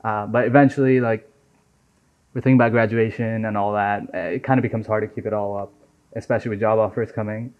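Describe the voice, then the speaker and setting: A man in his twenties from Nepal, chatting casually as an interview guest. He talks in a relaxed, easy way, often dropping "right?" and "man" into his sentences. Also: fairly low pitch, fast talker. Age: 20s. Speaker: software engineer guest. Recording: dull lo-fi audio.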